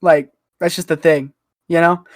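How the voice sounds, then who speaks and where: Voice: mid-range voice, steady tone, normal speed, no room sound, clean studio sound. A person chatting casually on a group podcast, telling things in a slangy, joking way. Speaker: podcast panelist.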